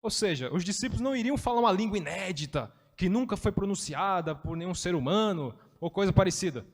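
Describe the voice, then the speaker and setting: A man's voice: high voice, animated, quick pace, in a large hall. A man teaching a Bible study in a chatty way, explaining with everyday examples.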